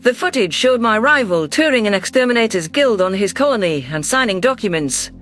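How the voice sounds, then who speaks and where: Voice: medium pitch, expressive, fairly quick, a bit bright, faint music behind. A narrator reading a first-person science fiction story in a scripted, audiobook style.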